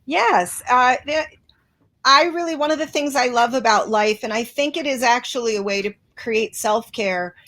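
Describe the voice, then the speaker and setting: Woman interview guest, high-pitched, earnest and reflective, restarts often. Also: moderate pace, fairly bright tone. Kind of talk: interview conversation.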